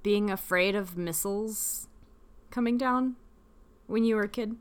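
A woman's voice: mid-range voice, a little slow, clear, resonant tone. Woman, 30s, podcast co-host. Casual, chatty, mid-range voice. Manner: chatty, reads research notes aloud. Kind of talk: casual chat.

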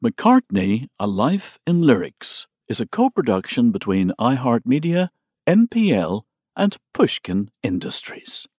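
A man's voice: mid-range voice, wildly swooping pitch, unhurried, a little mellow, breathy edge, no room sound, clean studio sound. A man reading scripted narration as a podcast narrator.

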